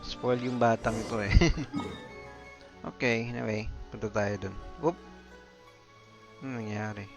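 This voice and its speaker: A man's voice: fairly low pitch, flat delivery, unhurried, gravelly, pauses often. A man with a Filipino accent giving casual live commentary as he streams a game. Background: video game music.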